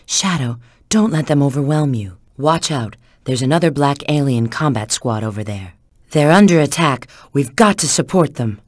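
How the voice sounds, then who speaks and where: A woman's voice: very deep voice, animated, moderate pace, a little mellow, no echo, clean studio sound. An actress playing a sly, confident female spy in a video game, giving scripted hints in a cool, assured, instructive way. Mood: stern, cautioning.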